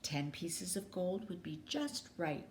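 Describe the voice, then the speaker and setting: Calm, measured woman schoolteacher, read by storyteller. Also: on the low side, full character voice. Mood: firm, coy. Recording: clearly noisy background.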